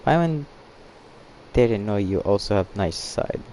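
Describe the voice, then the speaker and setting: Casting: male game streamer, offhand and slow, dark soft tone, reads dialogue aloud haltingly. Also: fairly low pitch, animated, slight background noise. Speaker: gaming streamer.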